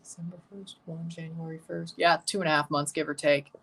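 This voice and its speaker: A man's voice: fairly high pitch, flat delivery, a little fast, creaky, fairly bright tone, slightly rough. Young man, twenties, livestream host, casual match watchalong, joking banter. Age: 20s. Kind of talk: live match watchalong commentary.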